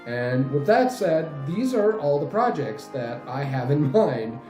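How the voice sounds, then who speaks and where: A man in his late twenties giving a casual channel update in a halting, rambling way. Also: fairly high pitch, sing-song. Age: late 20s. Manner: halting, rambling, frequent chuckles mid-sentence. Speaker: channel host and fan dub group leader. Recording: roomy echo, faint music behind.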